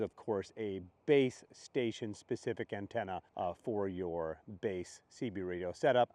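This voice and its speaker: A man's voice: medium pitch, darkish tone, no echo. A man hosting a casual question-and-answer session, explaining things in a conversational, matter-of-fact way. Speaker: host answering community questions.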